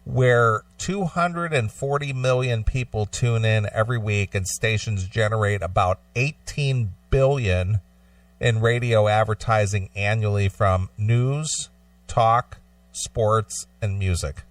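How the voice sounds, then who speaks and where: A man's voice: on the low side, slow, no room sound, recorded from a distance, soft background music. A man co-hosting a radio talk show, reading out news items and adding casual commentary.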